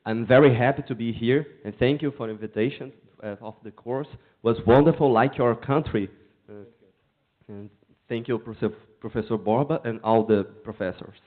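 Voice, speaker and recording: A man's voice: mid-range voice, steady tone, moderate pace. A man with a Brazilian accent, a native Portuguese speaker, giving a short speech of thanks. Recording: narrow tinny phone-like sound.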